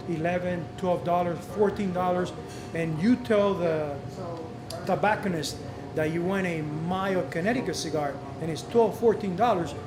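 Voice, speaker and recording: A man's voice: high voice, noisy background. A man whose first language is not English hosts a live cigar lesson in a cigar shop, coaching patiently and repeating key points. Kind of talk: live instructional talk. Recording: echoey room with crowd chatter.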